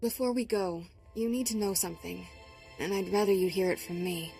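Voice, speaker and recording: An earnest young female Jedi apprentice for a game acted by a woman. Her voice is mid-pitched and gentle, with music clearly playing behind. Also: a little fast, close to an everyday voice. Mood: confessing, uneasy. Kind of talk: video game voice acting.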